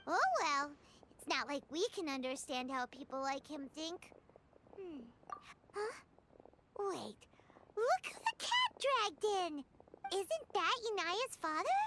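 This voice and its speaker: A woman's voice: extremely high pitch, big pitch swings, slow pace, long pauses, background noise, heavily acted. A woman voicing a small, childlike fairy companion in a video game, curious and blunt, musing aloud and exclaiming. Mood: surprised, nosy. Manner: exclaiming, musing aloud, refers to herself by name.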